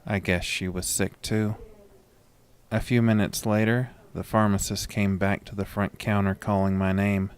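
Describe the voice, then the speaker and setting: Man, low pitch, scripted first-person horror narration. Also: level tone, measured pace, smooth.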